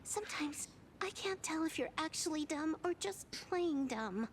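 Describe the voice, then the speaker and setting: A slow-spoken, high-voiced, wry game heroine. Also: female voice, lively intonation, a little hiss or hum. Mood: exasperated. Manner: wry, teasing, emotionally guarded. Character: sharp-tongued young woman, proud but secretly soft-hearted. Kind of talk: scripted video game voice acting.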